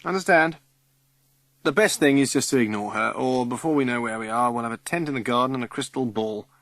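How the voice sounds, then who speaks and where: A man's voice: mid-range voice, a little fast, fairly bright tone, no echo, natural voice. A male reader voicing an earnest, insistent boy who says he sees a ghost. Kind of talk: audiobook character reading.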